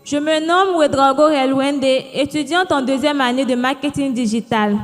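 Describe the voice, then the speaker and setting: Young woman, high voice, proud formal welcome, echoey hall, soft music. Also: normal speed. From Burkina Faso. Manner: proud, declamatory. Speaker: student. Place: hotel ceremony hall.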